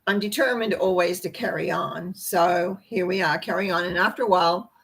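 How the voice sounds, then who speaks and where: An older woman with a hoarse, croaky voice, giving an informal spiritual talk to camera in a rambling, folksy way with asides. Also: lowish voice, moderate pace, a bit bright, rough recording. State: hoarse after a treatment, clearing throat. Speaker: host, tarot reader and spiritual teacher.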